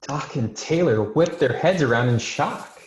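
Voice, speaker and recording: A man's voice: medium pitch, animated, unhurried, slight background noise. A man narrating screenplay stage directions in scripted narration for a table read. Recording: echoey room, dull video call sound.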